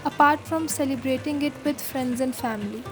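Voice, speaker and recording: Female guest contributor, very high voice, prepared explainer over clear guitar music. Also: a little fast.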